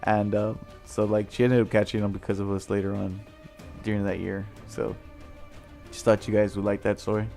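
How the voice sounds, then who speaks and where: A man's voice: lowish voice, little pitch movement, normal speed, gravelly, warm tone, soft background music. Young man telling a rambling casual story. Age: young adult. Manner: slangy, sweary, rambling.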